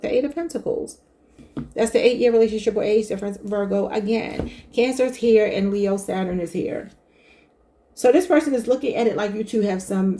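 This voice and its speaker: A woman's voice: medium pitch, mellow. A woman giving a casual tarot reading to her viewers, chatty and thinking aloud, calling them y'all. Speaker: tarot reader.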